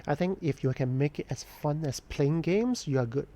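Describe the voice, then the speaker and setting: Mellow-voiced man. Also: on the high side. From Hong Kong. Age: 40s. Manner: unhurried, thoughtful, pauses often with "um". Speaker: artist live-streaming a drawing session. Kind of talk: casual live-stream chat while drawing, answering viewer questions.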